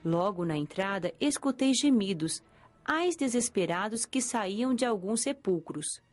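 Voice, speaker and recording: A woman's voice: medium pitch, expressive, a little slow, close to an everyday voice. A woman playing an earnest, curious young female spirit, telling of her afterlife in Brazilian Portuguese audiobook narration. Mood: observant.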